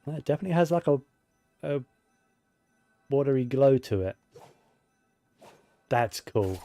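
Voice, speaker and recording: A man's voice: mid-range voice, lively intonation, measured pace, dark tone, dry recording, faint music behind. A man giving casual video game commentary, musing and thinking aloud to himself as he plays.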